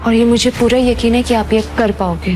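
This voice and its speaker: A woman's voice: fairly high pitch, fairly even intonation, a little fast, over loud music, close to an everyday voice. A woman playing a fiercely loyal, protective assistant in a Hindi audio drama. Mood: supportive. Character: fiercely loyal female assistant to her boss.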